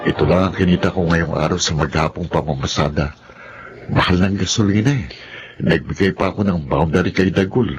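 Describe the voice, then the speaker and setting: A man's voice: deep voice, brisk, highs cut off, soft background music, close to an everyday voice. A man acting in a Filipino drama as a poor, humble husband who is apologetic and weighed down by guilt. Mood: apologetic, weary.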